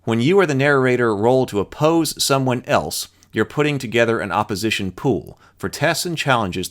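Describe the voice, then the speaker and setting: Male tutorial host, lowish voice, reads rules aloud and thinks out loud in an explanatory way. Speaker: host reading and explaining a game rulebook. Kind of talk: read-aloud rules tutorial with off-the-cuff commentary.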